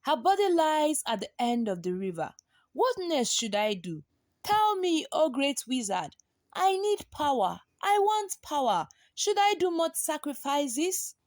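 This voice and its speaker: Scheming folktale chief played by a female storyteller, hungry for power, raised pitch, intense pleading. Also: sing-song, measured pace, darkish tone, no room sound, studio quality. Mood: craving, pleading.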